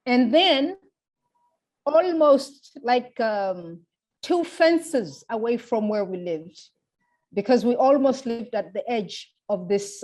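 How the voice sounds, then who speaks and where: Tanzanian lady being interviewed, thoughtful and measured, explains things carefully as she goes. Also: mid-range voice, darkish tone. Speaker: interviewee, feminist public intellectual. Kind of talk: reflective oral history interview.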